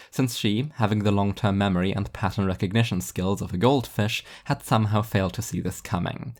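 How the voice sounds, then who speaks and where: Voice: sits low, darkish tone. An author reading fiction as narrator, in a scripted storytelling style with a dry, wry delivery.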